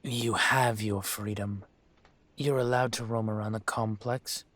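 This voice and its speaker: A man playing a dragon mafia boss in an audio roleplay, speaking with measured, condescending menace and smug control. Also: fairly low pitch, steady tone, fairly bright tone, dry recording. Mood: stern, condescending. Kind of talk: scripted roleplay monologue to the listener.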